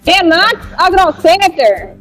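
A woman's voice: very high voice, very animated, takes it slowly, a bit bright, faint music behind. A woman from Goiás with a Goiás accent, a store representative talking in a casual rural way as a radio guest. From Goiás, Brazil. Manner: rural colloquial speech.

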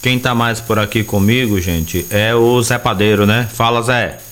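Guy hosting a call-in radio show, lowish voice, calm and comforting. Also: normal speed. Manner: warm, reassuring, religious blessings. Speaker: radio host.

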